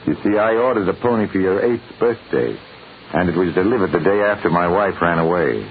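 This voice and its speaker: A man's voice: deep voice, a little fast. An actor playing a wounded, suspicious middle-aged father in a 1940s radio drama. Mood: suspicious, angry. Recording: tinny, hissy old radio recording.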